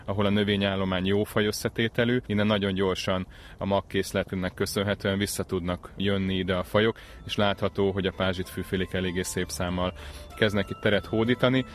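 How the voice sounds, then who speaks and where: A man explaining things as a conservation specialist in an outdoor interview, measured and precise. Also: low-pitched, steady tone. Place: outdoors in a wetland reserve.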